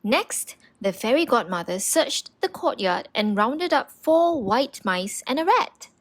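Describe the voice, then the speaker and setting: A woman narrating a children's storybook in an expressive read-aloud style. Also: a little high, a little slow.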